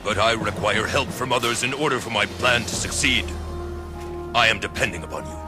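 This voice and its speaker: An actor playing a proud, calculating warlord in a video game cutscene, measured and authoritative. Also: male voice, lowish voice, close to an everyday voice. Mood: grand, commanding. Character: ambitious, calculating ancient Chinese warlord, commanding and proud. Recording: dramatic game music behind.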